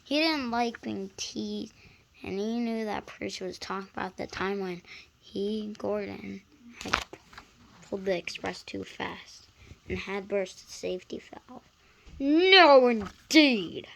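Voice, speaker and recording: A woman reading a children's story aloud in an expressive style. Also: medium pitch, measured pace, gravelly, noisy background. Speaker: story reader.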